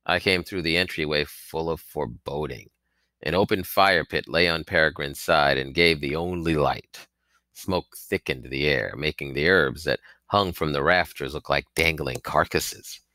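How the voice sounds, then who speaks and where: A man's voice: rumbling low, moderate pace, sharp tone, no echo. A man reading a novel aloud as scripted audiobook narration, acting out its characters. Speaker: reader of a children's novel.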